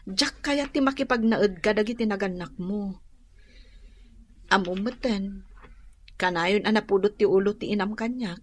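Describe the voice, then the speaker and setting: An actress playing a young wife in an Ilocano radio drama. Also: female voice, mid-range voice, measured pace, long pauses, close to an everyday voice. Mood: worried. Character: worried young wife, wary of her in-laws.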